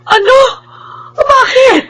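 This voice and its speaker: An actress playing an emotional, caring middle-aged mother in a Filipino radio drama, expressive and plaintive, with a Filipino accent. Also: very high-pitched, slow, raised voice, slight background noise, full character voice, low-quality recording. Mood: worried, tearful.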